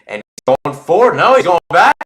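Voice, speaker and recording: A man's voice: sits high, very animated, moderate pace, fairly bright tone, reverberant, a little hiss or hum. A man giving live play-by-play football commentary in an informal way, thinking aloud and correcting himself as he goes.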